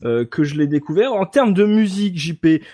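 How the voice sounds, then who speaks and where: A man's voice: a little high, lively intonation, a little fast, dark tone, dry recording, studio quality. Teasing man on a podcast. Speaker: podcast co-host. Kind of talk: casual podcast chat.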